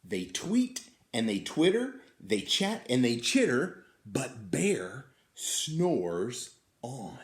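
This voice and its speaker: A man reading a picture book aloud to children in an expressive, drawn-out way, inviting them to join in. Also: mid-range voice. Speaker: teacher reading a story to children. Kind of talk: animated picture-book storytelling. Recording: roomy, echoing indoor sound.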